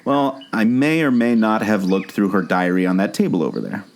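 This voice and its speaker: A man's voice: lowish voice, normal speed, darkish tone, lightly acted. A man playing an earnest, obsessive amateur sleuth and former jungle skipper in a scripted audio drama. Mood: explaining. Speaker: podcast co-host.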